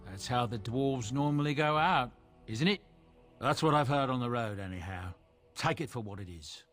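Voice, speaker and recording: A man's voice: medium pitch, expressive, normal speed, a bit bright, soft background music, lightly acted. A man voicing a genial middle-aged dwarf merchant in a fantasy game, with an obsequious salesman's courtesy. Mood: confiding, gossipy.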